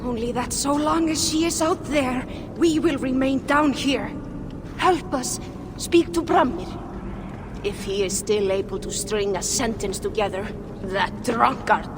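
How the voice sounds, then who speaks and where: An actress playing an earnest, sharp-tongued dwarf townswoman in a video game, pleading and emphatic. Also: fairly high pitch, measured pace, natural voice. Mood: urgent, then scornful. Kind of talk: video game cutscene acting. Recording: clear background noise.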